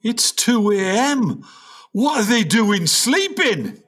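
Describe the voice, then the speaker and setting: Man acting a washed-up old jazz father, North of England accent, high-pitched, swinging melodramatic griping, comic radio play. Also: big pitch swings, slow, fairly bright tone, exaggerated voice. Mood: grumbling. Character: aging grumpy washed-up jazz musician father, Willie.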